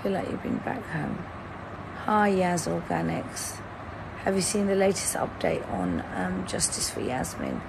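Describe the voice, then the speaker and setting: A hoarse woman in her thirties lies in bed, holding her phone at arm's length and chatting slowly with her livestream viewers. Her voice is gravelly and tired from a sleepless night. A fan hums clearly in the bedroom behind her. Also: lowish voice, big pitch swings. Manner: lying in bed, talking to a phone camera, reading out chat. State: hoarse, lost voice; sleep-deprived. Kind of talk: casual livestream chat with viewers. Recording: fan noise in the background.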